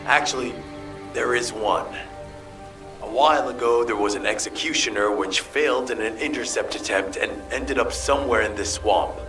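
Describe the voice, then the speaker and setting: An actor playing an earnest field commander in a video game, serious, brisk and commanding. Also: male voice, mid-range voice, over music, lightly acted. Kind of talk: dramatic video game cutscene acting.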